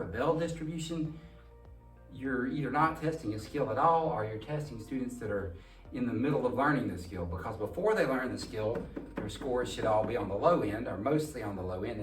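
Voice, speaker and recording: A man delivers an explanatory lecture in a mid-range voice. He teaches didactically, leaning on key words. The sound is echoey and distant from the microphone, with faint music. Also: medium pitch. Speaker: presenter teaching about reading tests. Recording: roomy, echoing sound from a distant mic.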